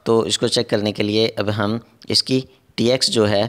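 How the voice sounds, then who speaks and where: A man's voice: on the low side, fairly even intonation, normal speed, fairly bright tone. A man narrating a step-by-step repair tutorial as an instructor, in a methodical way with repetitive phrasing.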